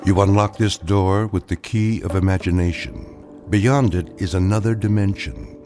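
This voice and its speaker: A deep-voiced man introduces a radio drama with slow-burning gravity and mystery. He is deliberate and theatrical, with music clearly behind him. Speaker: narrator.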